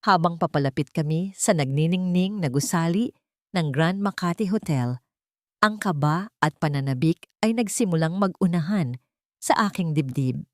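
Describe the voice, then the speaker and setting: A woman narrating a scripted first-person drama in a measured, theatrical way. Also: lowish voice, animated, no room sound.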